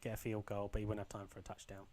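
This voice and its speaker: Young guy a bit croaky, lowish voice, rambly chill game commentary, lots of ums. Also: very fast. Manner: relaxed, rambling, frequent ums and restarts. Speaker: gaming channel host. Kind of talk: casual gameplay commentary.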